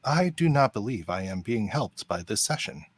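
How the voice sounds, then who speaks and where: A polite male android officer, voiced by a man for a radio play. He speaks in formal, precise phrases with a husky tone and lively swings in pitch. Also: moderate pace, no echo. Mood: puzzled, literal.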